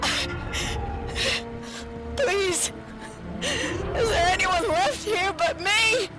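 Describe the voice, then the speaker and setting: A woman's voice: extremely high pitch, extremely slow, over loud music, heavily acted. A woman playing a desperate, frightened colony survivor in a game, pleading and close to sobbing. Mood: terrified, pleading. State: crying. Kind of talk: video game drama acting.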